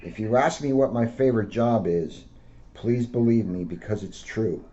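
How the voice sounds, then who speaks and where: A man's voice: fairly low pitch, moderate pace, warm tone. A middle-aged American man, a school police officer, reading aloud and talking informally to schoolchildren. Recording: dull lo-fi audio with faint noise.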